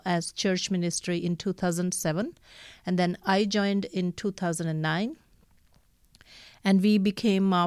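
Clear, low-voiced Pakistani woman. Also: no echo, clean studio sound. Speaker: nonprofit staff member being interviewed. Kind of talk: interview answers, explanatory.

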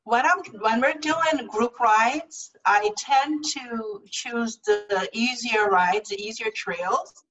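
A woman's voice: a little high, slow, hardly any pauses, a bit bright, far from the mic, low-quality recording. A woman from Guyana, a guest telling about her cycling group in an earnest, casual way that repeats words as she goes. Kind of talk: casual interview talk.

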